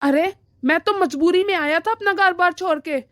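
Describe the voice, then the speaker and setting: Woman voicing a high-pitched, reproachful cartoon father. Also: normal speed, a bit bright, no room sound, clean studio sound. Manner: admonishing, lecturing. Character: weary, reproachful middle-aged father and husband, moralizing. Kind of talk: animated family drama dialogue.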